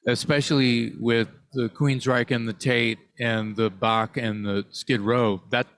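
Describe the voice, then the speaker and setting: A man's voice: medium pitch, fairly even intonation, a little slow, a bit bright. A man co-hosting a podcast in casual chat, chiming in with quips and opinions. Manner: jokey, chimes in with quips and opinions. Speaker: podcast co-host. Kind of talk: casual conversation.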